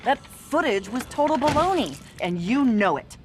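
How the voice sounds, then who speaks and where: A woman's voice: a little high, sing-song, moderate pace, noisy background. A woman playing a feisty, persuasive newspaper reporter in a video game, insistent and confident. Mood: indignant. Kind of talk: animated video game cutscene acting.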